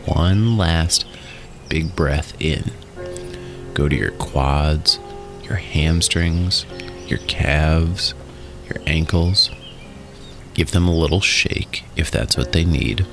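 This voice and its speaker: A man narrating a guided relaxation in a soothing, instructional way, in short phrases with pauses. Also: rumbling low, vocal fry. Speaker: guided meditation host. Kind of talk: guided meditation and hypnosis narration. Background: music.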